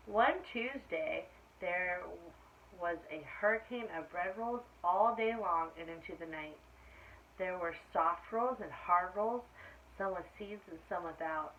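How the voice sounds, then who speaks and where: Woman doing kids storytime, expressive read-aloud with little asides, kinda muffled audio, bit of background noise. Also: fairly low pitch. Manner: expressive, asks listeners questions. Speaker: storytime reader. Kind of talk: read-aloud of a children's picture book with asides to listeners. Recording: muffled lo-fi sound with faint noise.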